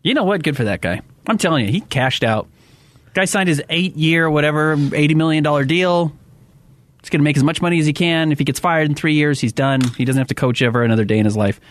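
A man's voice: on the high side, fast, no room sound. A man co-hosting a sports radio show, chatting casually and laughing as he tells stories. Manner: chatty, laughs while telling stories, teasing. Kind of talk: casual sports talk banter. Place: radio studio. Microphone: studio broadcast mic.

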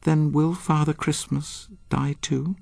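A man presenting scripted narration in an earnest, measured storytelling manner. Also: on the high side, level tone, creaky, a little mellow, soft background music.

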